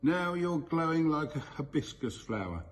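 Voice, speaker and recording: A man's voice: a little high, sing-song, moderate pace, slight background noise, voice pushed up. A man voicing a teasing, wearily sceptical male spirit person in a storybook, with exasperated expression. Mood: teasing, puzzled.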